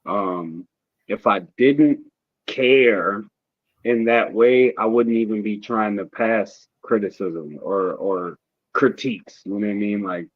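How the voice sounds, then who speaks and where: A man as podcast co-host, speaking softly in a laid-back, hesitant casual chat. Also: fairly low pitch, slow pace, no echo, narrow, tinny audio.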